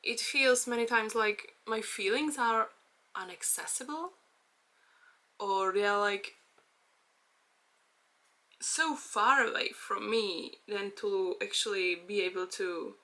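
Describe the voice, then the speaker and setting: A young Czech woman talks through a personal vlog in English with a Czech accent. Her voice is bright and crisp at a middle pitch. She speaks slowly and hesitantly, with many fillers and small nervous laughs. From Czech Republic. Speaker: vlogger. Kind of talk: casual personal chat.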